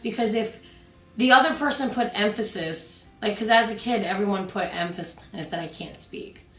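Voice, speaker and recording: A woman's voice: medium pitch, normal speed, roomy sound, distant mic, faint music behind, poor audio. A woman in her twenties who stutters, talking candidly and with frustration about her own life in casual conversation. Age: 20s. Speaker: video co-host. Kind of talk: casual personal talk.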